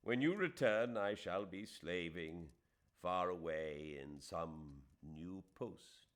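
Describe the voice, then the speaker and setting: A man's voice: deep voice, expressive, slow. A man voicing a wounded Indian man who speaks his letter with cold, aggrieved politeness.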